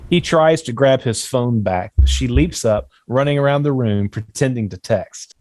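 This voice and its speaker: A man narrates the stage directions of a screenplay. His voice is medium in pitch, with a dry sound free of room echo. The delivery is scripted narration. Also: moderate pace, a little mellow.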